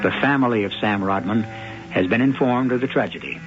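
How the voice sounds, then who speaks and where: A man hosting and narrating a vintage radio mystery in an ominous, measured way. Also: lowish voice. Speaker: host and narrator. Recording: dull vintage radio broadcast. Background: dramatic music.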